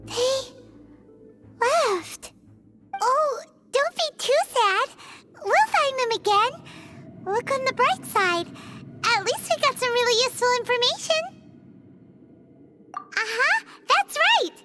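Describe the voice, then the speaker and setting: Very high squeaky fairy sidekick. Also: female voice, animated, slow, long pauses, heavily acted. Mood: consoling. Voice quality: squeaky, childlike. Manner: exclamatory, questioning, refers to self in third person. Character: small excitable childlike female fairy companion. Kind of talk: video game voice acting. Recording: game music behind the voice.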